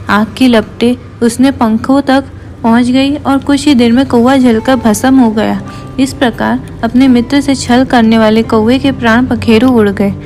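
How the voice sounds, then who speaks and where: A woman's voice: on the high side, a little mellow. A woman reading scripted narration for a children's moral story. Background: background music.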